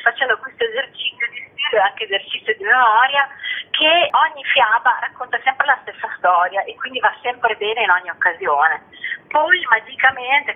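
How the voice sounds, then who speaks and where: Festival director, female, husky edge, explanatory and discursive, on a narrow telephone line. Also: fairly high pitch. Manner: articulate, discursive, long flowing explanations. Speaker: festival artistic director, interview guest. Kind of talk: spoken interview, explanatory.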